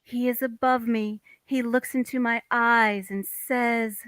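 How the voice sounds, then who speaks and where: A woman's voice: a little high, level tone, measured pace, a bit bright, clean tone, no echo, studio quality. A woman playing a dreamy, romantic young wife with an earnest manner in a table read of a play. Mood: dreamy, yearning.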